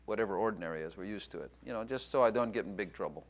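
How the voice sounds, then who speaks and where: Man, pastor, somewhat low voice, urgent exhorting sermon, repeats phrases. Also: rapid-fire.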